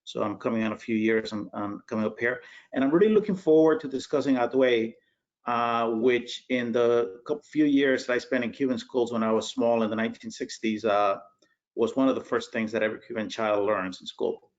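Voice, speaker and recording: A man's voice: medium pitch, brisk. A Cuban-born man in his sixties, an art critic, chatting at length in a casual online talk. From Cuba. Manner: talkative, chatty. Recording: dull lo-fi audio.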